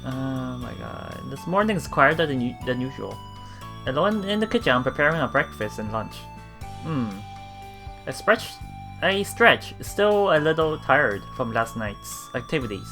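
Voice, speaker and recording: A man hosts a visual novel let's play in a bright, lively voice of medium pitch. He reads the text aloud and comments casually, often restarting phrases. Game music plays softly behind him. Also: measured pace, creaky.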